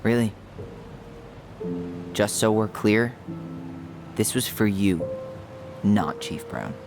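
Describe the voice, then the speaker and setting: A man's voice: lowish voice, takes it slowly. A man playing a guarded, stubborn young brother in understated, casual video game dialogue. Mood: reluctant. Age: young adult. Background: game music.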